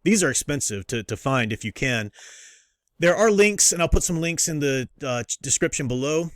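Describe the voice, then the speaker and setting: A man's voice: on the high side, expressive, normal speed, no room sound, clean studio sound. A man giving a casual, unscripted review as a host, rambling and interrupting himself with a fan's enthusiasm. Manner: rambling, self-interrupting, enthusiastic fan talk. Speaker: host reviewing books and comics.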